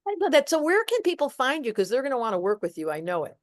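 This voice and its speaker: A woman hosting a podcast, talking in a playful, animated way and acting out little voices as she tells her stories. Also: sits high, quick pace. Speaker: podcast host, astrologer. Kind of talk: casual podcast conversation.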